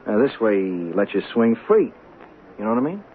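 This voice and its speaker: Swooping low-voiced streetwise hustler. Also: male voice, big pitch swings, brisk. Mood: offhand, skeptical. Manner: slangy, hesitant, offhand. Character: streetwise young male hustler, slangy, cocky, guarded loser. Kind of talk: 1970s radio drama acting. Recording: narrow, faintly noisy old radio broadcast.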